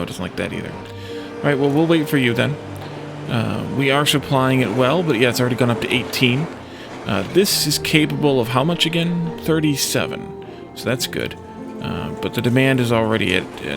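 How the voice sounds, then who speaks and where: A man's voice: medium pitch, gravelly. A man giving casual let's play commentary, thinking aloud and musing to himself as he plays.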